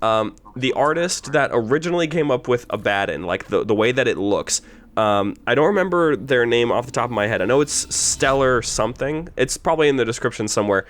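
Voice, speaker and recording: A young man hosting a casual commentary chat, eager and quick to repeat his words as he starts sentences. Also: medium pitch, lively intonation. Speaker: podcast host and voice actor.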